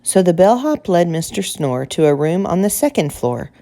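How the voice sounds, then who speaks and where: A woman reading a children's picture book aloud as expressive, scripted narration. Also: fairly low pitch, moderate pace. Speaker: storybook reader.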